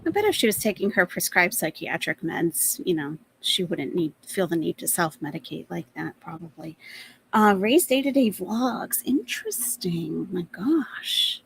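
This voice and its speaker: A woman's voice: lowish voice, animated, a little slow, gravelly, a bit bright, slight background noise, low-quality recording. A woman in her forties chatting casually as she reacts to a video, often turning to her viewers with questions and laughing. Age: 40s. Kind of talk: casual commentary reacting to a clip.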